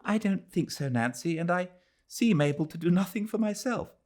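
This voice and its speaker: A man with a British accent voicing a tired, soft-spoken young Englishwoman. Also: high voice, expressive, moderate pace, voice pushed up. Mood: weary. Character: weary, quiet young Englishwoman.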